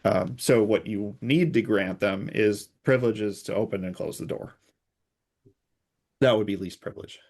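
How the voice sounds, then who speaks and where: A man's voice: on the low side, moderate pace, a little mellow. A man speaking as an expert guest in an interview, explaining technical concepts through hypothetical examples. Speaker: expert guest, security researcher.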